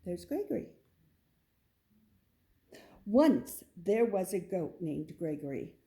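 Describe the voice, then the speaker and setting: A woman's voice: medium pitch, mellow. A woman reading a picture book aloud to children in a storytelling style.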